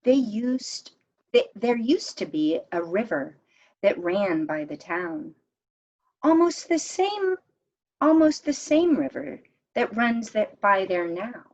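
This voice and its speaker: A woman reading a children's picture book aloud in a measured, expressive storytime manner, as if to young listeners. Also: medium pitch, highs cut off. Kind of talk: read-aloud storytime narration.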